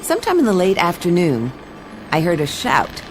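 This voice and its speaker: A woman playing a wry, deadpan adventurous traveller in a radio play, with unhurried delivery. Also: fairly low pitch, over music, rough recording. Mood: brooding, unhurried. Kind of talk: radio play narration and dialogue.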